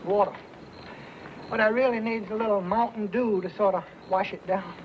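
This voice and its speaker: A man acting as a very high-voiced, boyish pioneer with an American accent. He is cheeky, folksy and wheedling, in old radio western style. The sound is muffled, with clear background noise. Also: steady tone, moderate pace, natural voice. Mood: jovial. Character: boyish, cheeky bachelor pioneer who likes his whiskey. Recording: dull, noisy old radio recording.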